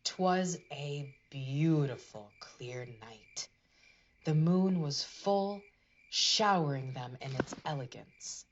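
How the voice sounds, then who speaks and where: A woman's voice: deep voice, expressive, takes it slowly, dull audio, slight background noise. A woman narrating a scripted storybook tale for a puppet show in a dramatic fairy-tale manner.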